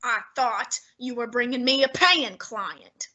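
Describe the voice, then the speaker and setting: A woman's voice: on the high side, slow, pushed and bright, highs cut off, heavily acted. A woman playing a pompous, heavyset older male detective in an old-time radio drama, speaking with haughty, fussy, lofty diction. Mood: exasperated.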